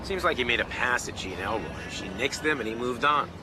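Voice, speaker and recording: An actor playing a brusque, slangy police detective giving a clipped report in a radio drama. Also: male voice, medium pitch, expressive, brisk, close to an everyday voice. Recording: clear urban ambience and vehicle noise.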